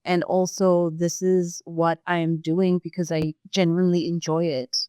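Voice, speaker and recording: Measured low-voiced woman from Zimbabwe. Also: little pitch movement, mellow, clear, resonant tone, dry recording, studio quality. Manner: thoughtful, measured, with fillers. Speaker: sales employee, guest. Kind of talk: casual interview answers.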